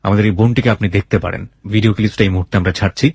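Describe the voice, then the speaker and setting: A man with a husky voice, hosting a radio programme and addressing his listeners. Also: lowish voice, fairly even intonation, racing, darkish tone. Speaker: radio show host. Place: radio studio.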